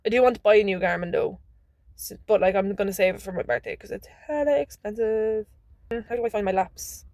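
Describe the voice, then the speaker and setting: A woman chatting casually to her camera in a rambling vlog, her voice a little hoarse and phlegmy. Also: mid-range voice, fairly quick, darkish tone, no echo, studio quality. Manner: chatty, rambling, restarts mid-word. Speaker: vlogger.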